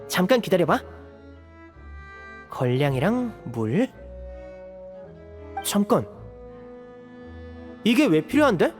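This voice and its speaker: High-voiced, poised young elder brother. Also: male voice. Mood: concerned. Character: poised young man, caring elder brother of orphanage family. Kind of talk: Korean video game voice acting. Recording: long reverb, game music behind. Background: music.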